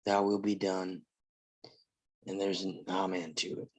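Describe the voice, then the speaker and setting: A man's voice: low voice, flat delivery, measured pace, darkish tone, poor audio. A man reading a weary, resolute Old West lawman in a live table read of a film script. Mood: solemn, praying.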